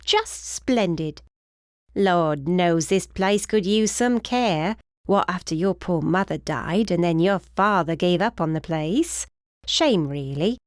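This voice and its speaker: A woman's voice: lowish voice, animated, slow pace, no room sound, clean studio sound. A woman voicing a short, plump, cheerful older housekeeper with a British accent and a rambling, effusive, fussy manner in an audiobook. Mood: cheerful, welcoming.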